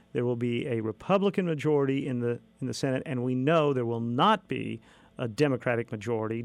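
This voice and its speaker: A male radio host asking a guest questions in a friendly, unhurried way. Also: mid-range voice, no room sound. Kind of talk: radio interview.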